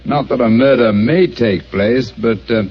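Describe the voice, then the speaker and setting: An actor playing a calm, sharp male detective who talks with precise, dryly ironic deduction in an old radio drama. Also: medium pitch, measured pace, crowd noise, lightly acted. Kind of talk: 1940s radio acting. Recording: dull old radio broadcast.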